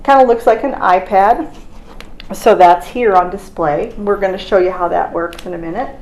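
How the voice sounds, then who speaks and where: A woman's voice: mid-range voice, normal speed, darkish tone, slight background noise, low-quality recording. A woman hosting a casual product unboxing in an informal, conversational way with her co-presenter. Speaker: host.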